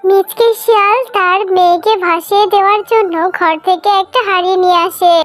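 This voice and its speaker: Very high-pitched woman storyteller. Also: steady tone, fairly quick, a bit bright, faint music behind. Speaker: narrator. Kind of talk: scripted storybook narration.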